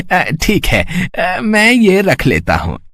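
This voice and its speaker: A man's voice: high-pitched, huge pitch swings, drawn-out words, fairly bright tone, no room sound, clean studio sound, heavily acted. A man voicing a greedy, showy village merchant with oily, fake-humble politeness in a moral-story cartoon. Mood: ingratiating. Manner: oily, showy politeness with self-satisfied chuckles.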